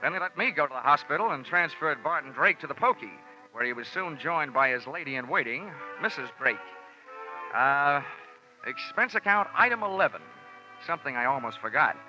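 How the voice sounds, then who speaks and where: An American actor playing a tough, wisecracking insurance investigator with a wry, snappy delivery in an old radio detective drama. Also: male voice, on the high side, lively intonation, normal speed, bright, forceful tone, soft background music. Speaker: lead character and narrator. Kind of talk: 1950s radio detective drama, hardboiled narration. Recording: dull vintage radio broadcast recording.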